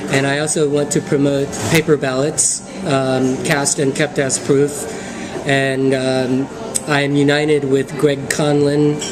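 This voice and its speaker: A man in his fifties speaks as a political candidate on a conference call. His delivery is halting and measured, with very little movement in pitch. A clear layer of steady noise sits behind him.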